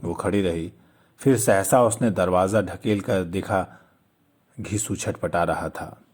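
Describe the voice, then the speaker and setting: A man's voice: sits low, slow, darkish tone, breathy edge. A man narrating a literary story in a dramatic storytelling style.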